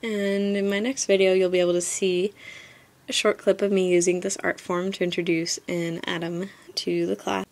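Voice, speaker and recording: A woman's voice: on the low side, flat delivery, moderate pace, darkish tone. A young American woman giving an informal explanatory presentation, like a student teacher talking through a lesson.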